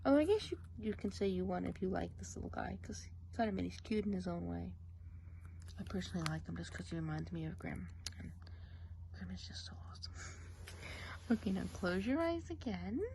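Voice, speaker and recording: A woman playing a gossipy witch of the woods who dips in and out of whispers. She keeps her voice soft and close, as in an ASMR role play, and she draws her instructions out slowly and carefully. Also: mid-range voice, very animated, slight background noise, rough recording. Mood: gently instructing.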